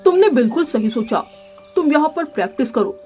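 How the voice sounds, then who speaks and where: A woman's voice: high voice, lively intonation, brisk, darkish tone, faint music behind. A woman voicing a friendly young male cartoon pigeon, curious and supportive. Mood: encouraging.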